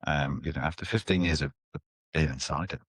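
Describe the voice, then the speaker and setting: A man's voice: a bass voice, brisk, slightly rough, no echo. A man hosting a design interview podcast, friendly and full of small fillers, recorded in an apartment. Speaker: podcast host.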